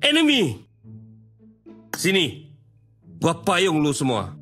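Man playing a slow, sharp-voiced Malaysian gangster. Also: fairly high pitch, huge pitch swings, soft background music, exaggerated voice. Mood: menacing, commanding. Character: tough, bossy Malaysian street gangster boss. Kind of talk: dramatic game voice-pack catchphrases.

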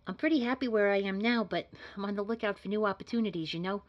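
A woman's voice: mid-range voice, quick pace, muffled recording, lightly acted. A woman playing a casual, unassuming job candidate in an audio roleplay, chatting informally and a little hesitantly. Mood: chatty, easygoing. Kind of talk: scripted audio roleplay drama.

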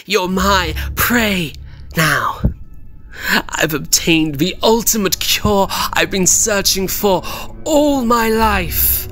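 An actor playing a mad invisible scientist in a horror audio drama, gloating, taunting and grandiose. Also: male voice, sits high, expressive, very slow, bright, forceful tone, exaggerated voice. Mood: gloating, menacing. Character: mad invisible male scientist turned gloating, menacing predator. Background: music.

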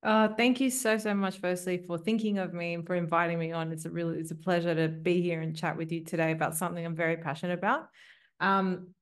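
A woman's voice: on the low side, fairly even intonation, fast talker. A woman in her thirties from Australia with an Australian accent, a lawyer talking fluently and openly as a guest on a video call. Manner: fluent and open, conversational. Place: home office, indoors. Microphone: headset.

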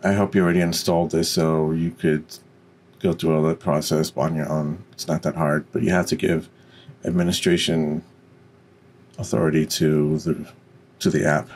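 A man with a deep voice giving an unscripted, step-by-step software tutorial. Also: little pitch movement, moderate pace. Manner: instructional, step by step. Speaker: tutorial presenter.

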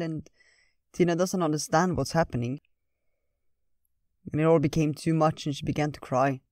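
A woman storyteller reading a fairytale as scripted, gentle narration. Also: sits low, dry recording, studio quality.

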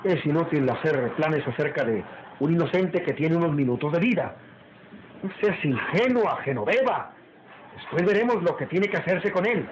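An actor playing a stern, proud master of the house in an old radio drama, speaking formally and emphatically. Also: male voice, on the high side, lively intonation, normal speed, close to an everyday voice. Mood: firm, exasperated. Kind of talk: old Latin American radio drama acting. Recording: muffled old radio serial, background noise.

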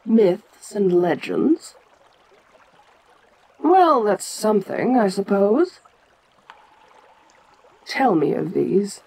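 Woman as a slow, imperious ancient monster queen. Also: mid-range voice, big pitch swings, a little mellow, slightly rough, heavily acted. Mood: curious, commanding. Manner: domineering, deliberate, stately. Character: ancient giant female kaiju queen, proud, imperious, curious. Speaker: voice actor in audio roleplay. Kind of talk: dramatic audio roleplay addressed to the listener.

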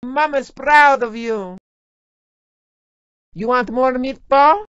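A woman's voice: high-pitched, takes it slowly, fairly bright tone, clean tone, dry recording. A woman playing a doting, teasing Italian-American mama, a theatrical and motherly video game character. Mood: proud, encouraging. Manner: theatrical, motherly, uses endearments. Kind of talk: video game character barks, exaggerated and exclamatory. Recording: slightly dull game audio clips.

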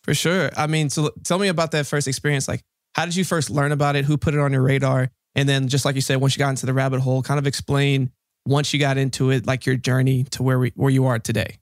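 A man's voice: medium pitch, level tone, fast talker, no echo, studio quality. A young man hosting a casual interview podcast in an upbeat way, with plenty of fillers. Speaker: podcast host. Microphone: studio mic on a boom arm.

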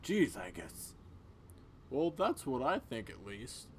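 A man's voice: mid-range voice, sing-song, slow. Man, mid-range voice, casual game player acting out dialogue, faint background noise. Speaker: game player voicing characters.

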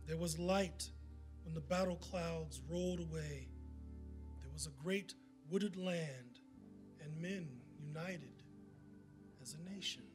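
Slow, high-voiced man reciting verse. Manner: emphatic, deliberate, dramatic pauses. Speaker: community reader at a podium. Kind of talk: dramatic poetry recitation. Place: indoor event room at a podium. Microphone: podium microphone on a stand. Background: faint background music.

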